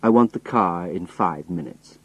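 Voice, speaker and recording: A man voicing a sinister old spy chief in a story, calm, polite and quietly menacing. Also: low voice, measured pace, slightly rough, dry recording, heavily acted. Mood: cold command.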